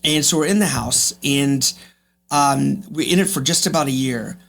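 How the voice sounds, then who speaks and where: A man's voice: medium pitch, normal speed, vocal fry, a bit bright. A man in his fifties speaking as an interview guest, reflective and emphatic, repeating phrases for effect. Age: 50s. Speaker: podcast guest, real estate agent.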